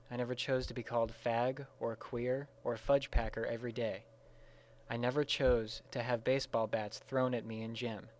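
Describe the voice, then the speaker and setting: Mid-pitched man reading over faint noise. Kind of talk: reading a first-person testimony aloud. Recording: faint background noise and ambience.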